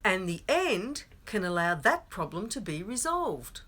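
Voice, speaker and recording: A woman presenting an instructional workshop for children in a theatrical, expressive storyteller's way. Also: medium pitch, measured pace, background noise.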